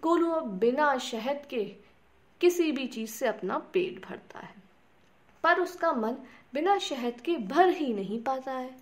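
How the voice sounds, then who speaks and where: High, slow, lively woman storyteller. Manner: addresses small children directly with simple questions and repeated words. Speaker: children's storyteller and host. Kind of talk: scripted bedtime story narration for children.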